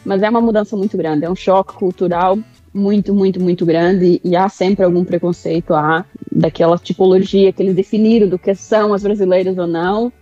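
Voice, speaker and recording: A woman in her mid-thirties from Brazil, with a Brazilian accent tinged by European Portuguese, speaking as a guest in a remote interview in a reflective, halting way with restarts. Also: mid-range voice, fairly even intonation, mellow, no echo, muffled recording, faint music behind. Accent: Brazilian with European Portuguese touches. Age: mid-30s.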